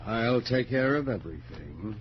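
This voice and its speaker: An actor in a 1940s radio horror drama playing a scheming, manipulative man, smooth, coaxing and condescending. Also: medium pitch, animated, moderate pace, natural voice. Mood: falsely soothing. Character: scheming, coolly amused, manipulative wheelchair-bound man. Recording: muffled 1940s radio recording, faint noise.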